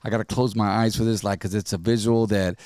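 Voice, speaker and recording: A man hosting a podcast in loose, joking talk full of slang, his voice a little raspy. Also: fairly low pitch, fairly even intonation, fast, a little mellow, dry recording. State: slightly raspy from partying. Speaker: podcast host. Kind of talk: casual chat.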